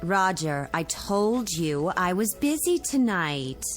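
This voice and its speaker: A woman's voice: fairly low pitch, very animated, slow, faint music behind. An actress playing a cool, exasperated woman fending off a suitor, curt, in a vintage television drama. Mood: coolly dismissive.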